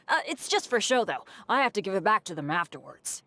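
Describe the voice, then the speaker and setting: A woman's voice: medium pitch, sing-song, brisk, fairly bright tone. A woman playing a friendly, upbeat young woman in a video game, chatty and easygoing. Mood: cheerful. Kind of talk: video game character acting.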